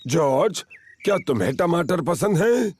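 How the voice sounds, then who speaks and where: A man's voice: fairly high pitch, very animated, a little slow. A man voicing a jolly, boastful, doting old grandfather pig in a children's cartoon dub, hearty and coaxing. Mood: cheerful. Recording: music under the voice.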